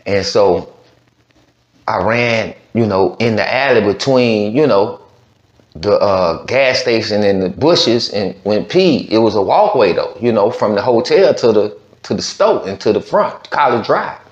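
A man's voice: on the low side, expressive, unhurried. Man, streetwise reaction-show host, loose slangy rambling, roomy muffled home-studio sound. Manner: slangy, rambling with constant "you know what I'm saying". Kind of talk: casual commentary. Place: home studio with green-screen backdrop. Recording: roomy, slightly dull sound.

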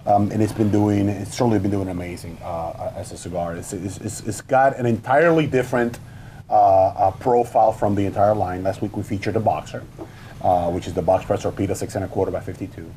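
A man's voice: lowish voice, warm tone, slight background noise. A man in his thirties from the Dominican Republic, a company founder talking casually on a studio panel through a lapel mic, often restarting his sentences. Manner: halting, restarts often, eager. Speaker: cigar company founder, guest. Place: studio set with wood shelves, indoors.

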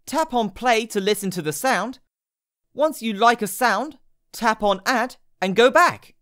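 A woman narrates a scripted how-to guide with a lively, moving pitch. She speaks in short instructional steps, laying out each direction plainly. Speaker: tutorial narrator. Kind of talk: scripted step-by-step app tutorial narration.

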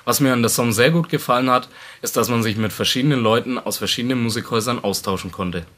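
A man's voice: mid-range voice, fast, fairly bright tone. A man of twenty-one from a music store's studio department, giving a plain, matter-of-fact testimonial. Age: 20s. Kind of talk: testimonial to camera.

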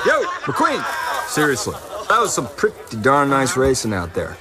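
An actor playing a cocky young male race car who banters and teases, from a film soundtrack with loud music. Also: mid-range voice, wildly swooping pitch, normal speed.

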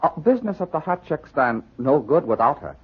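A man's voice: fairly high pitch, sing-song, a little fast, husky. An actor playing an obliging male nightclub worker in a 1940s radio drama, talking in clipped broken English. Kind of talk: 1940s radio character acting. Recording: muffled 1940s radio recording.

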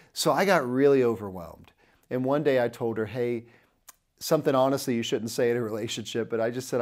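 A man's voice: medium pitch, a little mellow. Earnest male preacher telling a story. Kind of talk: sermon to camera. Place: indoor studio set. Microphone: lapel.

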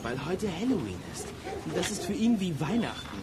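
A man's voice: sits high, sing-song, normal speed, a loud crowd. An actor playing a wary, grumbling boy detective in a children's radio play. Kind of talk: German audio drama acting. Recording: loud background noise, outdoor ambience.

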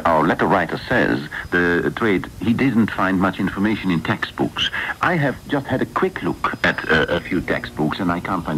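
A man's voice: sits low, fairly bright tone. A man answering listeners' letters off the cuff as a radio studio guest, heard on a noisy shortwave recording.

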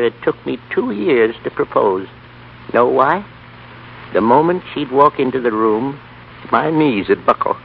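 Casting: sentimental, stubborn old grandpa, folksy, gravelly and lively, 1930s radio play. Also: male voice, mid-range voice. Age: older. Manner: folksy, plain-spoken. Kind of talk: 1930s radio drama acting. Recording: dull, noisy 1930s radio broadcast recording.

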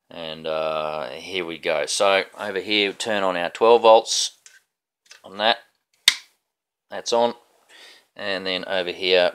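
A man's voice: low voice, smooth. A middle-aged man casually talking through a hands-on troubleshooting demo, informal and a little hesitant, step by step. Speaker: hobbyist builder presenting his project.

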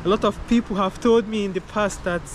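A man's voice: high-pitched, fairly even intonation, fairly quick. A young man with a light, feminine-sounding voice, vlogging in a loose, repetitive way and calling the viewer "man" and "bro", recorded outdoors. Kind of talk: casual to-camera talk. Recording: outdoor recording with clear traffic noise. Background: passing cars.

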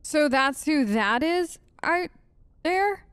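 A woman gives casual role-play commentary as a let's play host. Her voice is a little high and her delivery wry and hesitant, recorded close and dry. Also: lively intonation, slow pace, fairly bright tone, no echo. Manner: wry, hesitant, talks in character.

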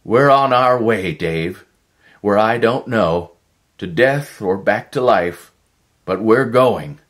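A man plays a driven scientist in a sci-fi audio story. His voice is pushed up high and loud, forceful and exclamatory, with urgent desperation. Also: expressive, takes it slowly. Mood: exhilarated.

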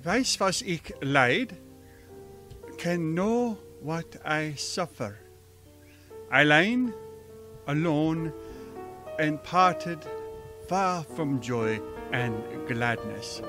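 An actor playing a gentle, shy, earnest German professor with a halting manner and a German accent in a radio drama. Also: male voice, on the high side, animated, soft background music, heavily acted. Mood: solemn, yearning. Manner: hesitant, halting. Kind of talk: old-fashioned radio drama acting.

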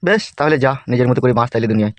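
A man's voice: medium pitch, animated, racing, no room sound, close to an everyday voice. A man playing a bossy, scheming, foul-mouthed gangster politician in a comedy cartoon. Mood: falsely kind. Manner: bossy, slangy, mock-sweet when coaxing.

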